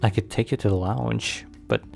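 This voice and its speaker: Guy playing a protective mafia boss bf, asmr style, deep crackly voice, slow n hesitant, bit of music behind. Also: creaky, darkish tone. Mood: relaxed, teasing. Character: protective mafia boss boyfriend, guarded yet softening. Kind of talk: ASMR roleplay, intimate dramatic monologue.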